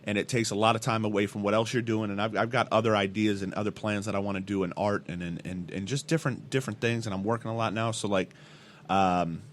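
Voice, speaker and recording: A man's voice: lowish voice, fairly even intonation, fast. A man in his thirties chatting casually as an interview guest, slangy and sweary, telling stories with repeated words into a close mic. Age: 30s. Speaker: hip-hop artist and event promoter, interview guest. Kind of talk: casual podcast interview chat. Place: small indoor room. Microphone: studio mic with pop filter, close. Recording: clear background room noise.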